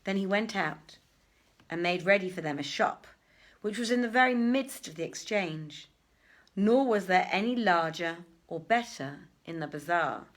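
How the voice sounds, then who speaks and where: A woman reading a literary tale aloud as a storyteller, theatrical and deliberate, with dramatic pauses and swells. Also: lowish voice, lively intonation. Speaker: storyteller, reader.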